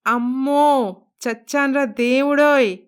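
A woman's voice: high voice, slow pace, clear, resonant tone. A woman voicing a stingy, stubborn middle-aged mother-in-law in a cartoon moral story, blunt and scolding in manner. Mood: pained, wailing. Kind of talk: Telugu animated moral story voice acting.

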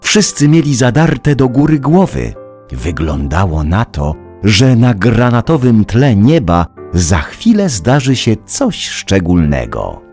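A man narrating a children's story in a dramatic, measured storytelling manner, with music under the voice. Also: lowish voice, wildly swooping pitch, a little mellow, heavily acted.

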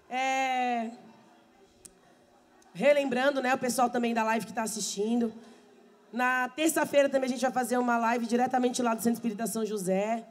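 Hoarse woman chatting from a bar stage. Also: fairly high pitch. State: hoarse, losing her voice. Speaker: samba singer and show host. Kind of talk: chatty stage talk between songs at a live show. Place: bar stage with a live audience, indoors. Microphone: handheld mic. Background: live samba band.

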